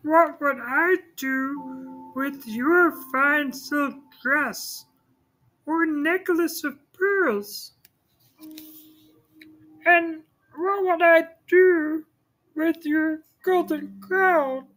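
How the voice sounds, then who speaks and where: A woman voicing a small, ugly male frog in a children's storybook, talking very high, slow and drawn out. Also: fairly bright tone, exaggerated voice, low-quality recording. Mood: pleading.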